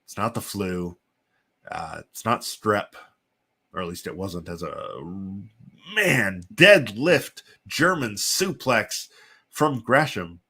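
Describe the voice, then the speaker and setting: A man with a congested, sniffly voice from a chest cold, hosting and giving casual, halting play-by-play commentary on simulated wrestling. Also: on the low side, animated, bright, forceful tone, breathy edge. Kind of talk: casual live play-by-play commentary with chatty asides.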